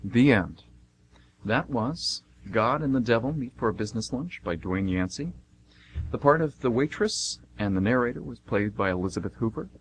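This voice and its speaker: A man's voice: medium pitch, lively intonation, moderate pace, poor audio. A man working as announcer and narrator for a radio theatre show, reading scripted links and narration. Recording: faint noise and hum.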